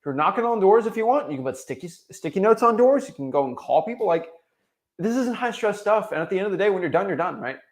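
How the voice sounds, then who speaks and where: A young man from Florida giving an energetic motivational talk, full of restarts and tagging his points with "right?". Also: high-pitched, fast. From Florida, USA. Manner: energetic, repetitive tag "right?", frequent restarts. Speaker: real estate wholesaling coach.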